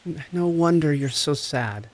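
A man's voice: on the high side, a little slow, lightly acted. A man acting the part of a compassionate recovery coach in an audio drama, tender, soothing and earnest. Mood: concerned, sympathetic. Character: compassionate, gentle, encouraging recovery coach and friend.

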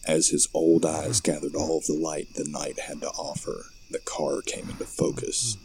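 An extremely low-voiced man narrates a horror audio play in a gravelly, creaky tone. Faint noise and chirping night insects sit behind his scripted storytelling. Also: steady tone, normal speed, a little mellow.

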